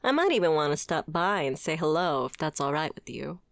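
Mafia boss played by a woman: wry, principled, flirtatious, lower female register, very dry close sound, ASMR roleplay. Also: very animated, a little fast, gravelly, no echo, full character voice. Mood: reassuring, flirtatious. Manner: sardonic, conversational, swearing. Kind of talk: scripted ASMR roleplay acting.

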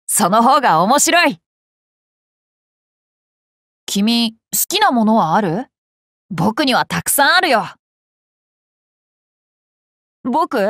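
A woman's voice: high voice, fairly bright tone, no echo, studio quality, exaggerated voice. A woman voicing a cheerful, curious boy king for an anime game, boyish and polite, with playful self-assurance. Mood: friendly, easygoing. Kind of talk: Japanese anime game character lines.

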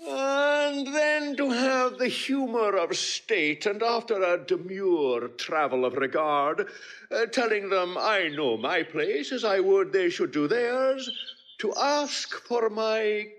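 A man's voice: sits high, lively intonation, takes it slowly, fairly bright tone, full character voice. A man playing a pompous, self-besotted household steward in a classical Shakespeare audio drama, lingering theatrically over his words. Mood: haughty, imperious.

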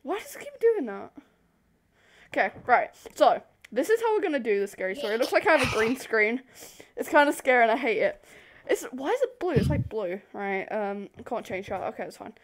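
A young woman telling a story casually to camera in an animated, rambling, giggly way, easily sidetracked. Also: on the high side, fairly quick. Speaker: video host. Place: bedroom.

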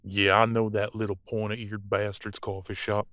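Low, surly werewolf played by a man. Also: flat delivery, moderate pace, fairly bright tone, no echo, natural voice. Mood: suspicious. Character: surly, distrustful male werewolf pack member. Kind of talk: dramatic audio roleplay.

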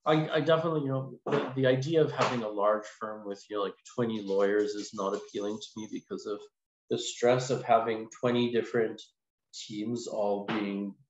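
A man in his forties speaking casually and haltingly as an interview guest over a video call. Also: on the low side, fairly even intonation. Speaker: podcast guest, lawyer. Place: small indoor room, video call. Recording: echoey, muffled video call with noise.

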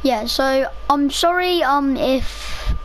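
Slow-talking young woman with a cough. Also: sits high, slight background noise, rough recording. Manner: chatty, rambling, self-talking. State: slightly rough voice from a cough. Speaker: gaming video creator. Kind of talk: casual gameplay commentary.

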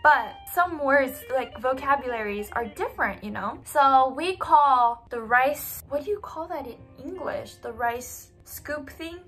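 A young woman from Japan chatting to the camera in her bedroom, animated and giggly, full of "like" and "you know". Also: high voice, measured pace, few pauses, soft background music. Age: young adult. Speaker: vlogger. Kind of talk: casual chat to camera. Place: small bedroom indoors.

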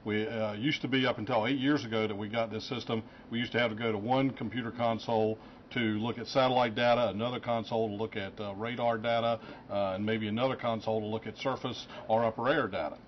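A middle-aged man explains technical work at an office workstation. His voice is on the low side and his delivery is halting and matter-of-fact. The recording is dull, with clear noise behind him. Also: fairly even intonation. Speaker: lead weather forecaster. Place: forecast office at computer workstation. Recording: muffled audio with clear background noise.

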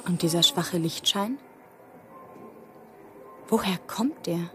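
A woman's voice: on the low side, slow, a bit bright, soft background music. An actress playing a woman who looks after her family in a radio drama. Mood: questioning. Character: woman comforting her family in a dark wagon.

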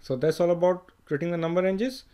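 A man walking through a screen-recorded software tutorial, plainly explaining each step with fillers and self-corrections. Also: high-pitched, a little fast, clear, resonant tone. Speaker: software trainer.

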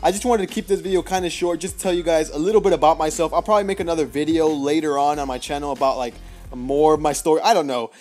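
A nineteen-year-old man from Mexico doing casual, rambling voice-over commentary on his mobile gameplay, excitable and full of jokes. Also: fairly high pitch, fast, soft background music. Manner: excitable, joking, rambling with lots of fillers. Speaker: mobile gaming YouTuber. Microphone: voice-over recorded apart from the gameplay.

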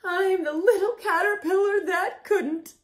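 A woman's voice: extremely high pitch, measured pace, roomy sound, pitched above the performer's own voice. A woman voicing a storybook caterpillar, male, impatient and melodramatic, exclaiming and whining. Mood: despairing. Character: frantic, impatient, dramatic young male caterpillar, whiny and overeager.

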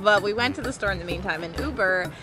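A woman's voice: mid-range voice, lively intonation, quick pace, over loud music. Upbeat woman vlogging at a marina. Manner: upbeat, exclamatory. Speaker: sailing vlog co-host. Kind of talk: casual vlog chat. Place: outdoors at a marina.

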